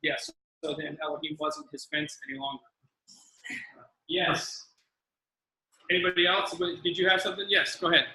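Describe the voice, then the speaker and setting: A man hosts a live forum and talks casually. His voice is very bright and flat in pitch, and the room is echoey. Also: normal speed, pauses often. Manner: casual, conversational. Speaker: forum host. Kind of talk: hosting a live Q&A.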